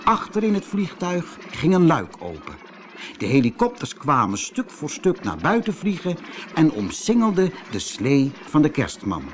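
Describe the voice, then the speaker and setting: A man with a Netherlands Dutch accent narrating a scripted children's fairy tale in an expressive storytelling manner. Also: fairly high pitch, a little slow, a little hiss or hum.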